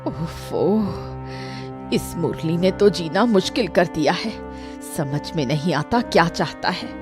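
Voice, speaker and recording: Woman voicing a low, worried, protective mother. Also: animated, slow, music in the background. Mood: worried, resolute. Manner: firm and concerned. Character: ailing, worried, protective middle-aged mother. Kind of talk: dramatized Hindi cartoon story.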